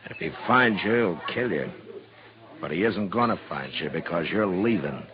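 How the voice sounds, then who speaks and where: Stern American lawman, low voice, terse authority, weary patience. Radio Western, crowd noise behind. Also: animated, moderate pace. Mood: stern, threatening. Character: stern, weary, level-headed male frontier marshal. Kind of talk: 1950s radio Western acting. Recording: dull 1950s radio recording, crowd behind.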